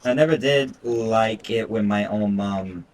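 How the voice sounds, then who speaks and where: A man's voice: on the low side, a little slow, slight background noise. A man doing casual let's-play commentary as a game streamer, reading visual novel text aloud with foul-mouthed, irreverent asides. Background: game music.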